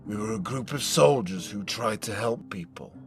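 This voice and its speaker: A man's voice: lowish voice, lively intonation, faint music behind. An actor playing a menacing, sadistic older survivor leader in an audio drama, taunting and swearing as he talks. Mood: bitter, brooding. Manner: slow, taunting, sweary delivery.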